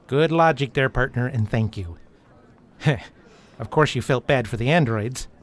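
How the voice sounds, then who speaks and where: A woman's voice: rumbling low, measured pace. Woman playing a wry, guarded veteran detective. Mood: wry, awkward. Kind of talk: audio drama acting.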